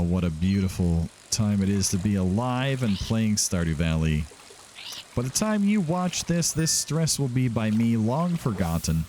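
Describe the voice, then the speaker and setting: Low-voiced man with swooping, animated pitch. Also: slight background noise. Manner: talks to himself and to game characters. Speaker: gaming video host. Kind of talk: casual let's-play commentary.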